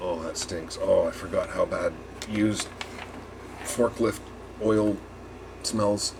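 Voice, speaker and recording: A man's voice: low-pitched, steady tone, slow, gravelly, background noise. A man giving a casual hands-on repair demonstration, rambling and thinking aloud as he works. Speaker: RV repair technician presenting a tutorial.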